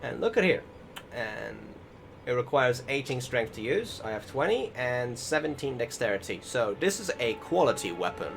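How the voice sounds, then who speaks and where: A man talks through video gameplay in a casual voice-over at a medium pitch. He rambles and questions himself as he goes, swearing mildly. Loud music plays behind his voice. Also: big pitch swings, normal speed, gravelly. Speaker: video game commentator.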